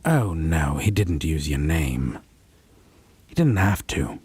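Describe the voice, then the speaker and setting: A man playing a possessive, wounded vampire in a roleplay audio drama, speaking with mocking refinement, theatrical phrasing and a breathy, husky voice. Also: a bass voice, big pitch swings, slow, darkish tone, dry recording, heavily acted. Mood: menacing, knowing. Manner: pedantic, sardonic, theatrical, lingering phrasing.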